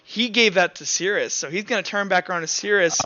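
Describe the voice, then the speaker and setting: A man's voice: high-pitched, brisk, sharp tone, lo-fi sound. A man narrating an improvised tabletop roleplaying game as the game master.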